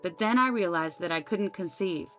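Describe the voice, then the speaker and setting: A woman's voice: lowish voice, moderate pace, faint music behind, lightly acted. A woman narrator voicing a wronged young wife in a story, aggrieved, emphatic and resolute. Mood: pained, resolute. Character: wronged young daughter-in-law, bitter, pained, resolute.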